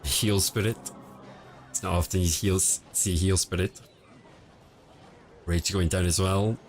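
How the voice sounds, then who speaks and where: Low-voiced man casting a mobile match. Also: slow pace, a little hiss or hum. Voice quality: quiet, low-level voice. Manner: relaxed, low-key, answers chat between play calls. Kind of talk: casual live-stream commentary. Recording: home stream audio with faint music behind. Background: faint background music.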